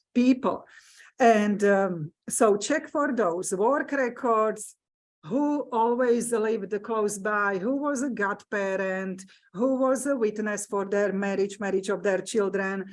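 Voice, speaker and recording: A woman with a Slovak accent lectures at an unhurried, measured pace, her voice sitting in a middle register. She pauses often on fillers and phrases things the way a non-native English speaker does, explaining patiently to a live room. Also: medium pitch. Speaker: genealogy presenter. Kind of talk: hybrid library lecture. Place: meeting room with live audience.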